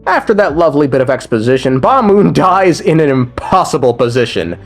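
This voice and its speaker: A man's voice: on the high side, sing-song, fairly quick, faint music behind. A man narrating a scripted recap of a video game story in a casual, irreverent style.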